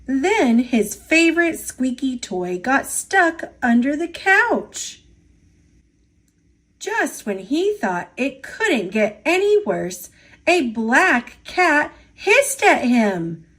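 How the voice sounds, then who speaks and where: A woman reading children's picture books aloud in an expressive, deliberate storytelling manner for young listeners. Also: sits high. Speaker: storybook reader.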